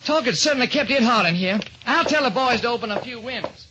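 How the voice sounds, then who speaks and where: Man voicing a high-pitched, brash police lieutenant. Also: lively intonation, fast talker, noisy background. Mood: brash, certain. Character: cocksure, hasty male homicide police lieutenant. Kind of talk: 1940s radio detective drama acting. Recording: dull old-time radio recording.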